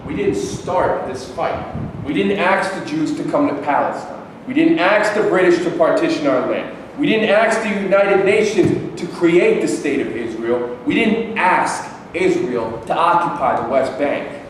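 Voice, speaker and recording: A stubborn older Palestinian man, acted by a man in a play reading, with a fairly high voice. He is defiant and declaims his retorts combatively. He sounds far from the mic in a noisy, reverberant hall. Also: animated, a little slow. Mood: aggrieved. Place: live staged reading in a reverberant room. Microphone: distant microphone.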